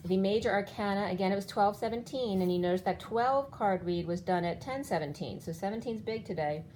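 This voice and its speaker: A woman's voice: mid-range voice, fairly quick, darkish tone, a little hiss or hum. A woman in her fifties giving a rambling spiritual tarot reading, walking through cards one by one while her voice keeps giving out. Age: 50s. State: losing her voice. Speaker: tarot reader.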